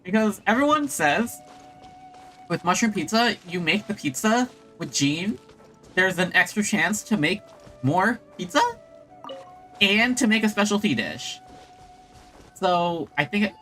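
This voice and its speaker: Bright, animated woman streamer. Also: mid-range voice, unhurried, pauses often, soft background music. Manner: rambling, chatty. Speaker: streamer playing a game. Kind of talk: casual gaming commentary.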